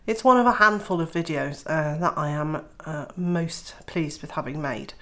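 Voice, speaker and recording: Lowish woman's voice, stuffed up with a cold, nasal and throaty, chatty vlog talk full of uhs. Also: normal speed, fairly bright tone. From Wales. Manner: chatty, many uh fillers. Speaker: homesteading vlogger. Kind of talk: casual vlog to camera.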